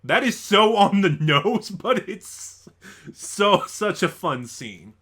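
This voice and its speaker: A man's voice: high voice, sing-song, slow pace, a bit bright, slightly rough. Rambling man giving a casual review. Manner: rambling, thinking aloud, stop-and-start. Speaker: online reviewer. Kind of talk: casual unscripted audio drama review.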